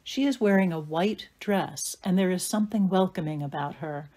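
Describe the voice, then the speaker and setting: A woman's voice: on the low side, normal speed, mellow. A woman narrating the stage directions of an audio play as atmospheric, scripted storytelling.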